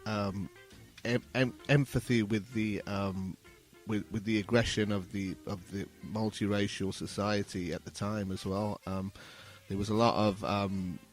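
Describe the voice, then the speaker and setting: A man in his fifties from England, recalling things as an interview guest in a halting, reflective way. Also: on the low side, fairly even intonation, faint music behind. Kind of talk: casual interview recollection.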